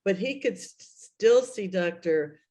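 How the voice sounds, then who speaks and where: A woman speaks at a slow, easy pace with very expressive intonation. She chats casually, with a playful and wry manner. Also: mid-range voice. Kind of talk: casual chat.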